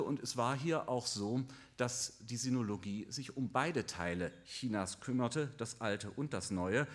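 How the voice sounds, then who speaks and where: A man's voice: medium pitch, a bit bright. Older man giving a dryly ironic lecture. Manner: halting with fillers at times. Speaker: professor of Chinese studies. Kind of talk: formal lecture.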